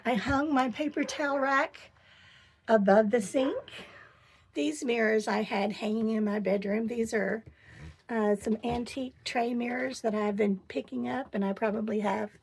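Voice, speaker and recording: A middle-aged woman with a hoarse voice, chatting casually as she gives a tour of her camper van. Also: fairly high pitch, a little mellow, a little hiss or hum. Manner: chatty and enthusiastic, pausing while showing things. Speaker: vlogger. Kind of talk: casual home tour vlog. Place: inside a small camper van.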